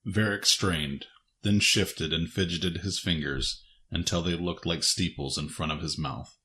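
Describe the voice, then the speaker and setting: A man's voice: low voice, fairly even intonation, a little slow, pushed and bright. A man reading scripted, dramatic story narration as the narrator of an audio drama. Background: faint music.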